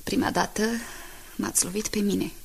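An actress playing a bitter, despairing woman in a radio drama, speaking in a hushed, breathy, reproachful way. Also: medium pitch, little pitch movement, slight background noise.

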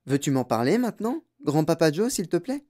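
A wide-eyed little boy , as a narrator acts him out in a storybook. The voice is pitched up, eager and polite, full of curiosity and gentle pleading. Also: very animated, a little fast. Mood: eager, curious. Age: child.

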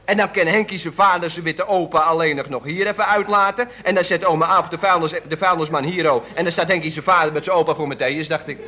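Cheeky young nephew, bright-voiced. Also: male voice, a little high, quick pace, few pauses. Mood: rambling, keen. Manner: colloquial, rambling, full of tag phrases. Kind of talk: Dutch radio comedy serial acting.

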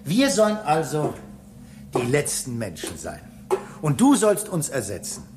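A man's voice: fairly high pitch, unhurried, noisy background. An actor in a radio drama playing an impatient, sarcastic human crewman on a space station. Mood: challenging. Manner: impatient, mocking, excitable. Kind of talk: German radio drama acting.

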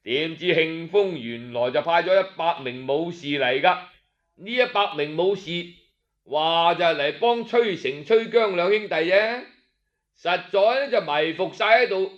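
A male Cantonese storyteller with a fairly high voice narrates at a slow pace. His delivery is colloquial and expressive, with drawn-out sentence endings. The audio is slightly dull.

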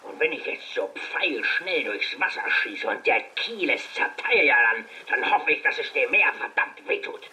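An actor playing a boastful, angry pirate captain in a German radio play, swaggering and cursing. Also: male voice, medium pitch, very animated, normal speed, hardly any pauses, sharp tone, poor audio. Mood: gruff bragging. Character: boastful, angry male pirate captain, grudge against the sea.

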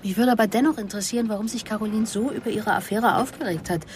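A woman's voice: mid-range voice, fast, a little mellow, slight background noise, lightly acted. A woman playing a persistent police investigator in a German radio drama, asking controlled, pointed questions. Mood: insistent.

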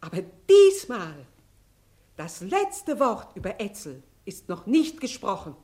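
A woman's voice: mid-range voice, sing-song, slow, mellow. An actress playing an embittered, defiant upper-class woman in a radio play, fierce and commanding. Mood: bitter anger.

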